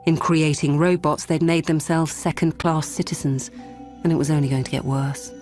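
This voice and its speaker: A woman's voice: low voice, flat delivery, moderate pace, darkish tone, lightly acted. A woman playing a composed, stubborn lawyer and robot psychologist in a radio drama, wry and controlled. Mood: wry, reflective. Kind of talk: radio drama narration and dialogue. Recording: music underneath.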